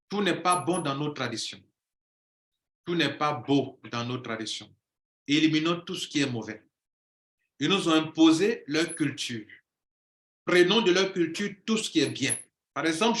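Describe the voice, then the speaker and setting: A man's voice: mid-range voice, a bit bright, echoey room. A man speaking as a coach in an impassioned monologue, declaiming and repeating phrases for emphasis.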